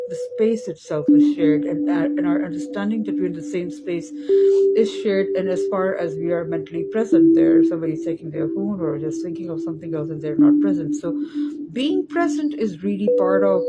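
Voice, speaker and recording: A woman, giving a reflective spoken talk in a thoughtful, unhurried way, with frequent fillers and restarts. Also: on the low side, heavy background noise. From Pakistan. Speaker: spiritual teacher giving a video talk series. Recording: muffled lo-fi sound with loud music behind.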